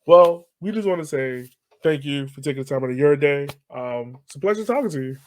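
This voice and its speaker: Animated higher-pitched American man, jokey. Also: a little fast. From Virginia, United States. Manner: jokey, teasing, laughs readily. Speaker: podcast host, interviewer. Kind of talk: casual interview chat.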